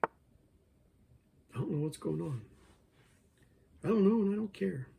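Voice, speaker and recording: A man who is losing his voice, hoarse, thinking aloud over an online chess game and joking with himself as he plays. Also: sits high, lively intonation, slow, warm tone, a little hiss or hum. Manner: mutters his thoughts, talks to himself, jokes self-deprecatingly. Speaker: chess video creator.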